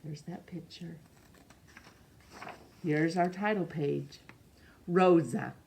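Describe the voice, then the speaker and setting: A middle-aged woman reading a picture book aloud in a measured, expressive way for children. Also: fairly low pitch, creaky, a little hiss or hum. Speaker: storytime reader. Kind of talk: read-aloud storybook narration. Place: small room indoors.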